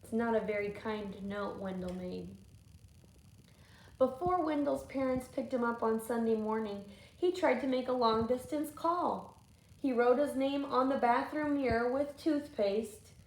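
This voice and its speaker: A woman with a fairly high voice reads a storybook as a teacher would, slowly and thoughtfully, pausing for questions to children. The room echoes around her. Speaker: teacher reading aloud. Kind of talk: storybook read-aloud with asides to children. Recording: echoey room with faint noise.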